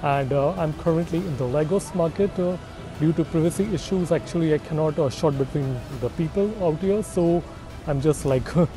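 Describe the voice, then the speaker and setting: A man's voice: on the high side, normal speed, music in the background, poor audio. A man in his thirties from India, talking casually to camera outdoors, hesitant with many fillers. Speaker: business agent in Portugal. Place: outdoors in a city street. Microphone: phone at arm's length.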